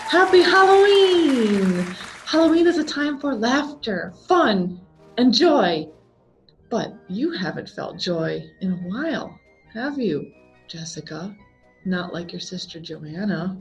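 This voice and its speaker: A woman's voice: medium pitch, very animated, slow pace, faint music behind, some chatter behind, rough recording. A woman voicing a gleeful, sinister circus clown with a theatrical, mock-cheerful showman's delivery. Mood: gleeful, taunting.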